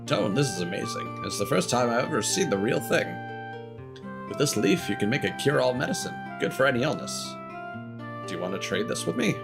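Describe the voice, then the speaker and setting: A man's voice: medium pitch, sing-song, a little fast, vocal fry. Man voicing an eager game shopkeeper, music behind. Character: eager trading shopkeeper hawking remedies and wares. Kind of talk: reading video game dialogue aloud.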